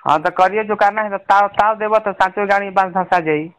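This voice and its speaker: A man's voice: sits high, fairly quick, fairly bright tone, clean tone. A man with a Bhojpuri accent arguing heatedly on a recorded phone call, abusive and threatening.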